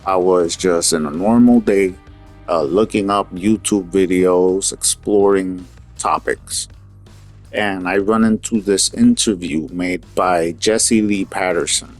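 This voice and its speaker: A man from Puerto Rico giving an earnest, opinionated monologue in a casual, rambling way. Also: deep voice. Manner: earnest, opinionated, talks in loose rambling asides. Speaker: vlogger.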